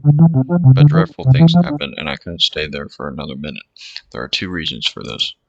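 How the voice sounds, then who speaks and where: Brief: male streamer, deep gravelly voice, reads game text aloud, loud game music behind. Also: sing-song, moderate pace, no echo, lo-fi sound. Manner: reads the game text, with short asides of his own. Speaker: gameplay streamer reading the visual novel aloud. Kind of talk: reading game dialogue aloud over gameplay. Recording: game music playing loudly underneath.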